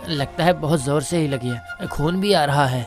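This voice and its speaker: A man's voice: on the high side, moderate pace, music in the background, lightly acted. A man playing a grumbling hired henchman in a moral-story cartoon. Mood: surprised.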